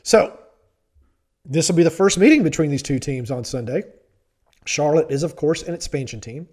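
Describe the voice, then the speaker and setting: Male podcast host, stuffy-nosed, wry and self-deprecating, mellow and slightly high. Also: animated, moderate pace. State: stuffy from pollen allergy. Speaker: podcast host and sports reporter. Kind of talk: podcast commentary.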